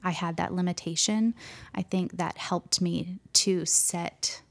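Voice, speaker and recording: A young woman pastor speaking softly and gently in an interview, pausing often with hesitant ums. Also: on the low side, steady tone, gravelly. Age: young adult. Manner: soft-spoken, gentle, hesitant with many ums. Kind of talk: interview conversation.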